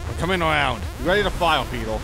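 An actor playing a cocky, quarrelsome spaceship captain, blunt, irritable and informal, in a science fiction comedy audio drama. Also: male voice, a little high, lively intonation, fast talker, creaky, music in the background, rough recording. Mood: cocky, urgent.

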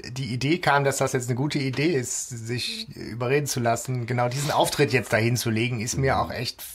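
A man's voice: medium pitch, fairly quick. A man co-hosting a podcast, chatting casually about an audio drama. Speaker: podcast co-host.

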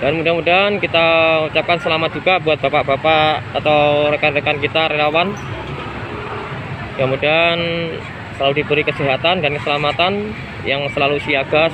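A man holds his phone up outdoors at night and reports the scene to his viewers. He talks urgently and keeps repeating himself. His voice sits a little high, and the phone picks up loud street noise around him. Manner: urgent, repetitive, addresses viewers as friends. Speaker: citizen reporter vlogger. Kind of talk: on-the-spot phone video report. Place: outdoors at night by a mall fire, busy street. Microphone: phone camera held by the filmer.

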